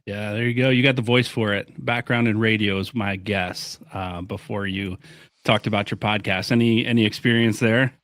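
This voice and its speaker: A man's voice: lowish voice, fairly even intonation, normal speed, fairly bright tone, no echo. A man hosting a soccer fan podcast, upbeat and talkative, moving from a scripted intro to friendly chat. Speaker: podcast host.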